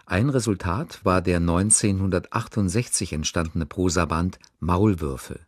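A deep-voiced man announces a radio programme in a measured, formal manner. The sound is close and completely dry. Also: slightly rough, dry recording. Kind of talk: scripted broadcast introduction.

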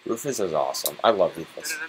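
A man's voice: sits low, very animated, quick pace, a loud crowd, rough recording. A man giving casual, flippant, sometimes crude let's-play commentary as a co-host over game audio. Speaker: gamer co-hosting a playthrough. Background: video game sound.

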